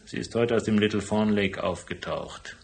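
An actor playing a shrewd, unflappable private detective in a radio crime drama, speaking in a dry, deliberate way. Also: male voice, on the low side, vocal fry, darkish tone. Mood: pressing, blunt. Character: cool, laconic hardboiled male private detective, shrewd and unflappable. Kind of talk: German radio crime drama acting with first-person narration.

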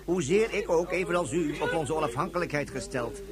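An actor playing a dutiful male aide in a radio play, reporting to his ruler in a formal, deferential, measured manner. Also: on the high side, close to an everyday voice. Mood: earnest.

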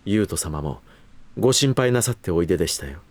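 An actor playing a protective butler in a Japanese voice drama, firm and extremely polite, with cool formality. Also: male voice, low voice, expressive, brisk, a little mellow. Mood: deferential. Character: formal, protective male butler, coolly hostile to intruders.

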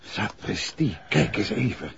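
A man with a Netherlands Dutch accent playing a calm, affectionate gentleman detective and husband in a 1960s radio drama. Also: fairly low pitch, unhurried, gravelly, exaggerated voice. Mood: alert, concerned. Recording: muffled, noisy 1960s radio recording.

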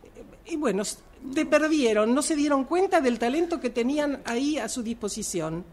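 A woman's voice: high voice, animated, normal speed. A woman playing a supportive, insistent friend in a radio drama, with an Argentine accent and hesitant, coaxing phrasing. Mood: soothing. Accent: Argentine Rioplatense. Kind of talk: radio drama workshop dialogue. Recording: noisy amateur workshop recording.

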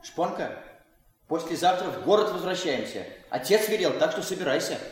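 Man playing irritable, bossy young fellow in radio play, curt delivery with big pitch swings, somewhat high voice. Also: expressive, moderate pace, lightly acted. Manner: brusque, dismissive.

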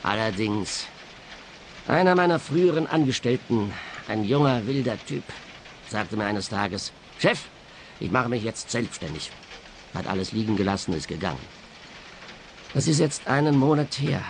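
Ernster Schrottplatzchef, leichte Hintergrundgeräusche. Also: male voice, lowish voice, lively intonation, normal speed, pauses often, a little hiss or hum. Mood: matter-of-fact. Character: earnest, matter-of-fact male scrapyard boss. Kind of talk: radio play dialogue.

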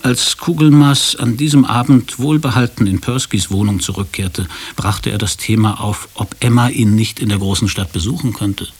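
Low-voiced male storyteller with dry delivery. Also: normal speed, few pauses, breathy edge. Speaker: narrator. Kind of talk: scripted literary narration in a radio drama.

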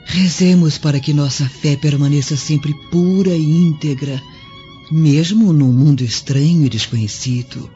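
A humble, devout servant in a radio drama of ancient Rome. Her voice is dark and mellow, with earnest deference. The recording is slightly dull, with quiet music. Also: sits low, measured pace. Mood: devout, apprehensive. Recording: muffled audio under music.

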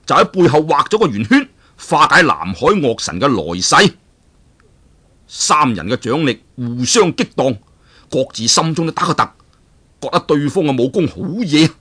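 A man from Hong Kong telling a martial arts tale in a dramatic, animated storyteller's manner. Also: medium pitch, husky. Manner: animated, dramatic, chuckling asides. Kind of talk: traditional Cantonese storytelling of a martial arts novel.

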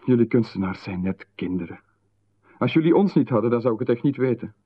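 A menacing official-like visitor, condescending, mid-pitched, thin phone-like radio sound. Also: male voice, a little fast, breathy edge. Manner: calmly condescending, turning sharply indignant. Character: smooth menacing male visitor, patronizing official. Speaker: visitor who calls himself Marchon. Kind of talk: 1990s radio play acting. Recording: narrow phone-like radio band.

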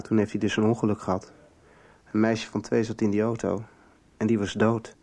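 A man's voice: on the low side, a little mellow, lightly acted. Earnest young man played by an actor, slightly hesitant. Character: earnest young man searching for his father. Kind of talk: radio soap acting.

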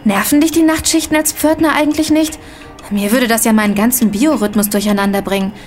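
An actress playing a sensible, reassuring young psychologist in a German radio play, speaking casually. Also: female voice, fairly high pitch, fairly quick, soft background music, lightly acted.

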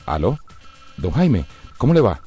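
An actor playing an irritable middle-aged husband and father in a radio soap, with a Costa Rican accent and a gruff manner. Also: lowish voice, huge pitch swings, unhurried, dark tone, soft background music. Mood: ingratiating, pleading. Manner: gruff, scolding, contemptuous. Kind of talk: radio soap opera acting.

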